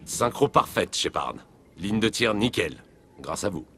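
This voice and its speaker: A determined alien officer from a video game, speaks confidently in a low-pitched voice. The delivery is matter-of-fact, with faint ambient noise behind it. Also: male voice, sing-song, unhurried, a bit bright, heavily acted. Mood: brisk, pleased. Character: keen, determined male alien Turian police officer.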